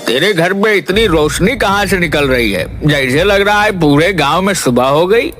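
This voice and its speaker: A man voicing an astonished, questioning village neighbour in an animated folk tale. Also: sits high, moderate pace. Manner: questioning, hailing.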